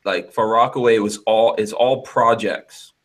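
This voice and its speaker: A man from Guyana hosts a podcast over a headset on a video call. He tells stories in an animated, joking way and laughs a lot as he goes. His talk is loose and chatty. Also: lowish voice, a little slow. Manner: animated, joking storyteller who laughs often. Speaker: podcast host. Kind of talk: casual chat. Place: home studio room.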